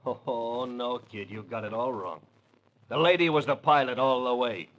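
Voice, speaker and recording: A man's voice: mid-range voice, moderate pace, a bit bright, close to an everyday voice, rough recording. A male actor playing a menacing, sarcastic crime-drama killer with an American accent, taunting in 1940s radio style. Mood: sneering, menacing. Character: sneering, menacing male gangland killer with a gun.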